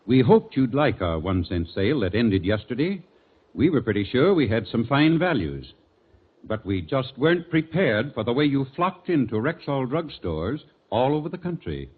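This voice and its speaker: Husky, earnest radio druggist. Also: male voice, mid-range voice, very animated, moderate pace. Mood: earnest, apologetic. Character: earnest, apologetic family druggist. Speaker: sponsor's spokesman. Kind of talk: scripted 1940s radio advertisement. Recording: tinny 1940s radio recording.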